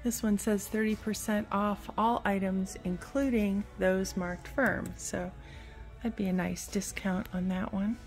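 A woman vlogging a casual walk-through of a large indoor antique mall, talking in a meandering, unhurried way with lots of fillers. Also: medium pitch, steady tone. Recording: phone audio with faint store music. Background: store music playing faintly.

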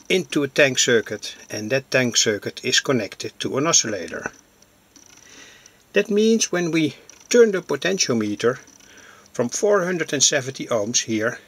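A man giving an informal hobbyist demonstration, narrating in halting, deliberate phrases. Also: mid-range voice, fairly bright tone, slightly rough. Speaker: electronics hobbyist presenter.

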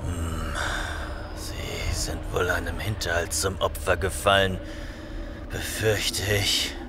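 An actor playing a proud, weary young barbarian warrior in an audio drama, speaking in a heavy, declamatory way. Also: male voice, sits low, level tone, slow, over music. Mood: weary, grim.

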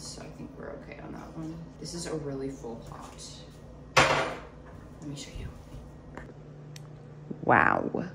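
A young woman vlogger chatting casually as she cooks in her home kitchen, thinking out loud about each step. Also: deep voice, noisy background. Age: young adult. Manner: chatty, thinks aloud while cooking. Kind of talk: casual cooking vlog talk. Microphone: camera on the counter, a few steps away. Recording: echoey kitchen, mic at a distance.

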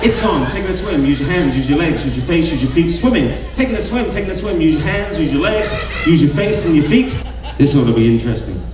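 A middle-aged man, a stage hypnotist, giving loud commands to volunteers in a theater show in a hyped, sing-song way. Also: on the high side, lively intonation, fast talker, music in the background. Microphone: handheld mic. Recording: echoey theater audio from far off.

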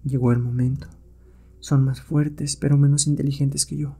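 A man voicing a calm, self-assured noble adventure hero who speaks in a measured way. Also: medium pitch, creaky, husky. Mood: quiet resolve.

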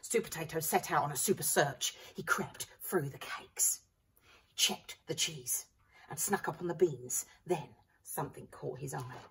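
A middle-aged woman reading a children's story aloud in a dramatic, expressive way. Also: sits low, a little slow, creaky, breathy edge. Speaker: primary school staff member reading aloud. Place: small school library corner indoors. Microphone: camera a few feet away. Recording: slightly distant room camera microphone.

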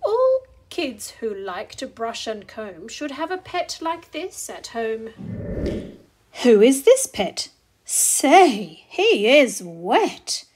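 A woman reading a children's picture book aloud, expressive, deliberate and sing-song. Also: on the high side, breathy edge. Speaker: story reader.

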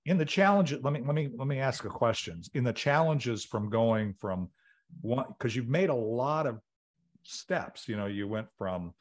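A man's voice: mid-range voice, lively intonation, normal speed. A middle-aged man hosting an interview podcast over a video call, rambling and restarting his sentences often. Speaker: podcast host. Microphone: video call webcam.

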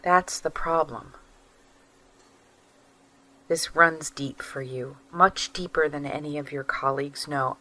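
A tired estranged wife, speaking slowly and carefully with a bright, clear edge to her voice. She sounds guarded and worn down, unsure whether she can keep waiting. It is an audio drama performance. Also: deep voice, steady tone, exaggerated voice. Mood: weary. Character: Maria, estranged wife weighing divorce, weary.

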